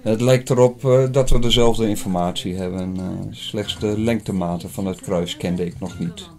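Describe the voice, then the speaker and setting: A man playing an inquisitive, polite newspaper writer in an audio drama, speaking formally and deliberately. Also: lowish voice, fairly even intonation, darkish tone, lightly acted. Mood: pondering. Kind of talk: scripted audio drama dialogue.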